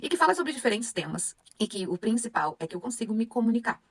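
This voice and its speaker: Brazilian woman, young adult, language teacher, fast and animated, dry close sound. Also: a little high, slightly rough, dry recording. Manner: animated, rhetorical questions to the audience. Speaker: Spanish teacher. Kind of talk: motivational lesson to followers.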